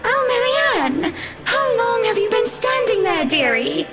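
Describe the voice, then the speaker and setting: A woman voicing a cruel, mocking two-headed female apparition in a horror game, taunting with drawn-out, venomous words. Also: very high-pitched, very animated, loud music behind the voice, heavily acted. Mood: mock-sweet taunting. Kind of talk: horror visual novel voice acting.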